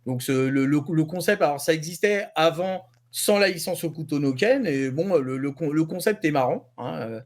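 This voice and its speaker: A man's voice: fairly high pitch, animated, moderate pace, hardly any pauses. A man giving news as a columnist in a rambling, slangy, jokey way. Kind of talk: casual chat.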